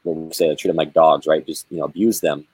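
A man with a very deep, somewhat husky voice talks in a slow, searching way, pausing often as he reflects. The sound is close and dry, with no room around it. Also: fairly even intonation, a little mellow, no echo. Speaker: spiritual guest. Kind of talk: reflective spiritual conversation.

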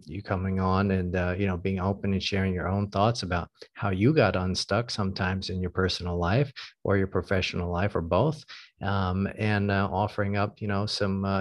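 Man, podcast host, low voice, dry close sound, earnest, lots of ums and uhs. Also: no room sound. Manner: frequent filler words, earnest and plain-spoken. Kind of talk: casual podcast talk. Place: America.

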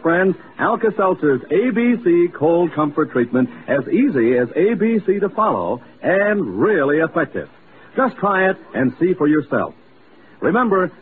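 A man reading a vintage radio commercial as an announcer, in an emphatic, instructive way. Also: on the high side. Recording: tinny band-limited old radio recording, faint noise.

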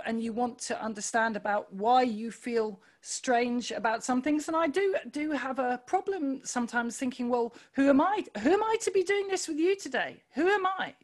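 A woman with a loud voice, talking earnestly in an interview, pausing often with fillers and restarts. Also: on the high side. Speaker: podcast guest, running club founder. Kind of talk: reflective conversational interview.

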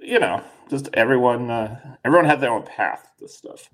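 A man's voice: mid-range voice, expressive, moderate pace, fairly bright tone. A man in his forties from Denmark, co-hosting a podcast in casual chat, rambling with many fillers and restarts. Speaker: podcast co-host.

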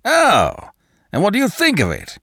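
A man's voice: high-pitched, wildly swooping pitch, normal speed, creaky, dry recording, clean studio sound, pitched above the performer's own voice, heavily acted. A man voicing a coarse, domineering giant of a man who roars and hectors, raising his voice well above his narration. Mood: blustering. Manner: loud, blustering, hectoring.

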